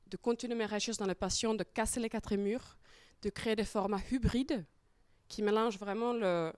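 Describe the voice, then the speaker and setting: A woman's voice: medium pitch, a little mellow, no room sound. Woman, Finnish accent, non-native speaker on a panel, hesitant and searching for words, dry close mic. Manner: hesitant, searching for French words, many fillers. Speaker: circus artist and show creator. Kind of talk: panel talk, casual explanation.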